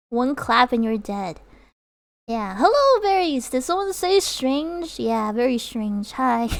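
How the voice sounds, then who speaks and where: A young woman streamer whose first language is Chinese, chatting casually with viewers, rambling with lots of fillers and laughter. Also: on the high side. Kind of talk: casual chat reading viewer comments.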